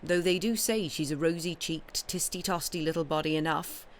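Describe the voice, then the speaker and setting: A woman's voice: low voice, moderate pace, slight background noise, natural voice. A woman voicing a gossiping country milkmaid in a storybook, talking in rustic dialect. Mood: gossipy.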